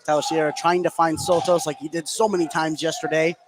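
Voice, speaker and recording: A man's voice: on the high side, little pitch movement, fast. An American man giving a running, descriptive live radio play-by-play of a basketball game. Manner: running, descriptive call of the action. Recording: loud gym noise behind live broadcast. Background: noisy indoor basketball gym.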